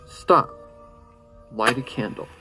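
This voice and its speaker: A man's voice: mid-range voice, slow pace, bright, forceful tone. A man narrating a horror story in a suspenseful, theatrical way. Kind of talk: dramatic horror fan-fiction narration. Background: faint music.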